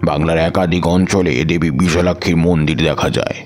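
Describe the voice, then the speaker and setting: A man playing a calm, devout wandering tantric mystic who narrates his own story in a measured, reflective way for an audio drama. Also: sits low, soft background music, close to an everyday voice. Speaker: first-person narrator.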